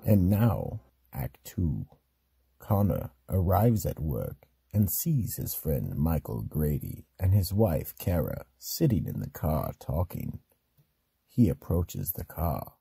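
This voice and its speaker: A man's voice: very deep voice, big pitch swings, slow pace, vocal fry, mellow, breathy edge, no echo. A man narrating a mystery radio play from a script in a storytelling role.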